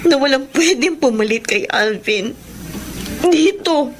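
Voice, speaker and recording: A woman's voice: high-pitched, expressive, slow, slight background noise. A woman playing a lovesick, pleading young woman in a Filipino radio romance drama, earnest and tearful. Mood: anguished, crying. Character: lovesick, pleading young woman clinging to her cheating boyfriend.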